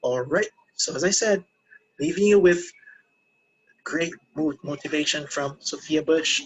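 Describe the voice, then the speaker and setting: A man gives a relaxed English lesson, talking straight to his viewers. His voice sits a touch high and has a husky edge. He keeps repeating useful phrases and checking in with little questions. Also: unhurried, low-quality recording. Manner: encouraging, repeats key phrases, asks learners questions. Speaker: English teacher. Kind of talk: casual English lesson to camera.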